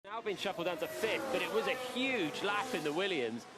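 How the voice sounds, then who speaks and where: Male co-commentator for live motorsport, high voice, animated and opinionated, over loud broadcast track sound. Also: fairly quick, loud music behind the voice. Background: racing car engines.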